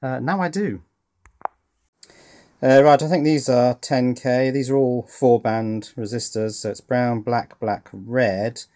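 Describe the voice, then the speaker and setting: A middle-aged British man casually narrating a hands-on hobby project, thinking aloud with hesitant pauses. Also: mid-range voice, steady tone, dark tone. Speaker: electronics hobbyist presenter. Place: indoors at a workbench.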